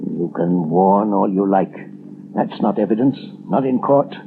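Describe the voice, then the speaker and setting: An actor in a 1930s radio drama playing a scoffing, jumpy schemer on a noisy old recording. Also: male voice, deep voice, lively intonation, unhurried, vocal fry, phone-quality sound, full character voice. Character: scoffing, rattled male schemer, defiant and jumpy.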